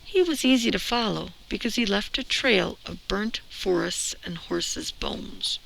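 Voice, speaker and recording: A woman reading a children's storybook aloud with expressive, deliberate, emphatic phrasing. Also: mid-range voice, creaky, low-quality recording. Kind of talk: expressive read-aloud narration. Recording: noticeable background noise.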